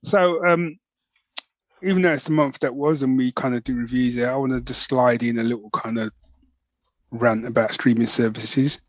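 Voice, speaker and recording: A man hosting a podcast, chatting and ranting casually in an animated, storytelling way. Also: mid-range voice, moderate pace, dry recording, rough recording. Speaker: podcast host.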